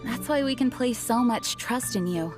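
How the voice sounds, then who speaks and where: A woman playing a dutiful young knight commander in a video game, with a formal, polite and measured manner. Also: fairly high pitch, animated, close to an everyday voice. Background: background music.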